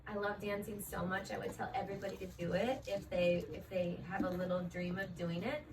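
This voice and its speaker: A woman's voice: medium pitch, fairly quick. A woman, a professional ballet dancer, answering interview questions in a casual, chatty way, full of "like" and "um". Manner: chatty, frequent fillers like "like" and "um". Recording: distant mic with steady background noise.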